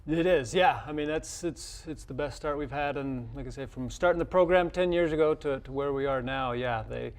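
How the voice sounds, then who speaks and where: A man, a college coach, answering interview questions in a television studio in a halting, modest way that often repeats words. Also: a little high. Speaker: college tennis head coach, interview guest. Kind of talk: unscripted interview talk.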